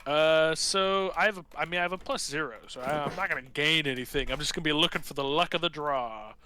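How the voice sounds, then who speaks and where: A man's voice: a little high, quick pace, pushed and bright, slight background noise. A man chatting casually as a player in a tabletop game, informal and given to swearing.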